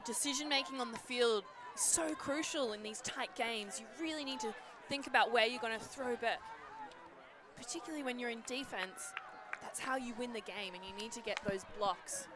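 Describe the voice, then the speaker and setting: A woman with a fairly high voice gives live colour commentary on a match. She explains the play with emphasis. A crowd and outdoor noise are clearly heard around her. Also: moderate pace. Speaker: co-commentator, analyst. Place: outdoors beside a sports field. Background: crowd and outdoor ambience.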